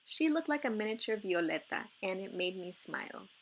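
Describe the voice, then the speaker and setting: A woman narrating a children's novel as an expressive read-aloud. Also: medium pitch, normal speed, creaky, slightly rough, rough recording.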